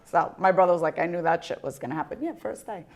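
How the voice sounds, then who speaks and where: A woman hosting a podcast, telling stories in an animated, casual way with frequent swearing. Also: on the low side, fast talker. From United States. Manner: animated storytelling, swearing, laughing. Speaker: podcast host. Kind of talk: casual interview chat.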